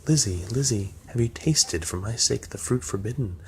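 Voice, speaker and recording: A man reading the lines of an anguished, remorseful young woman in a storybook poem, quietly. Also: mid-range voice, expressive, moderate pace, slight background noise, voice pushed up, close to an everyday voice. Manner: softly read quoted speech.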